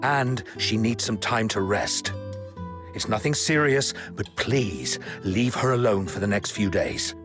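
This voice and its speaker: A man's voice: fairly low pitch, animated, measured pace, music in the background. A man voicing a curt, guarded master of a house in a video game. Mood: dismissive, guarded.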